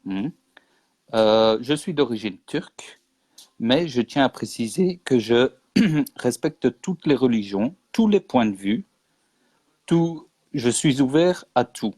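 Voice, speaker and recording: A man's voice: mid-range voice, expressive, slow pace, a little mellow, no echo. A man from Belgium, a guest in a casual interview, explaining history earnestly and insisting on points with repetition. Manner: earnest, insistent, repeats for emphasis.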